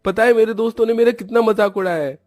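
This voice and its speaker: A man's voice: high-pitched, fast talker, a little mellow, no echo, voice pushed up, close to an everyday voice. A man voicing a sulky, scornful schoolboy brother in a cartoon story, whining and accusing. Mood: angry, whining.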